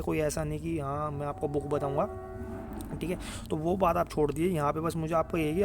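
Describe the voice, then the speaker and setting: A man giving informal, earnest and insistent advice to students, often repeating himself and checking that they follow. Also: fairly high pitch. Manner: earnest, repetitive, insistent, with frequent check-in tags. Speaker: exam guidance YouTuber. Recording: clear ambient background noise.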